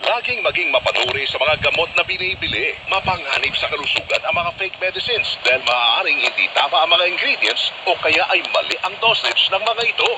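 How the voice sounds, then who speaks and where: A man with a Filipino accent reading scripted radio commercials as an announcer. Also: on the high side. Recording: very noisy AM radio aircheck.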